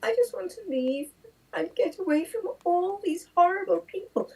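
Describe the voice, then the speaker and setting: A woman's voice: extremely high pitch, animated, moderate pace, creaky, darkish tone. A woman performing in an improv scene, pleading theatrically and heard from a distance. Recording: voice from far off the mic.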